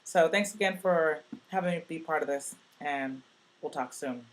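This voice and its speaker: A woman with a deep voice casually telling a personal story in a recorded message to a youth project, in a relaxed, chatty way full of fillers. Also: moderate pace. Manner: chatty, relaxed, many "like" and "you know" fillers.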